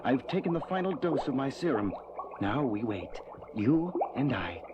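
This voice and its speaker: An actor playing an intent experimenting scientist in a 1970s audio drama. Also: male voice, medium pitch, big pitch swings, normal speed, lo-fi sound, heavy background noise, lightly acted. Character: intent male scientist experimenting in his lab.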